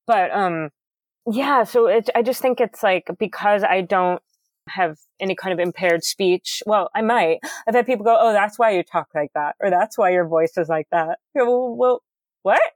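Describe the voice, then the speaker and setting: A woman guest in a candid interview, speaking loudly and enunciating carefully, earnest and animated with many fillers. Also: mid-range voice, normal speed, dry recording. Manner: loud, carefully enunciated speech.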